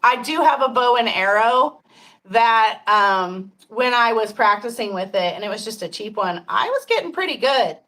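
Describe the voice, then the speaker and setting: A woman's voice: on the high side, lively intonation, moderate pace, pushed and bright. A woman in her fifties hosting a casual livestream chat, talking frankly and chattily with frequent laughter and some swearing. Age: 50s. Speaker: podcast host.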